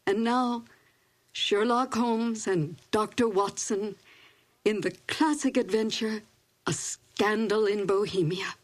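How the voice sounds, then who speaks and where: An older woman presenting in formal, measured scripted narration. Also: medium pitch. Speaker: presenter introducing classic radio episodes.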